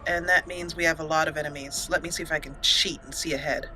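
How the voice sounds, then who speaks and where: A woman's voice: deep voice, steady tone, quick pace, pushed and bright, slight background noise, low-quality recording. A woman hosting a let's play, chatting casually and self-mockingly as she plays, often giggling. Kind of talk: casual gameplay commentary. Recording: faint game music behind. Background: game music.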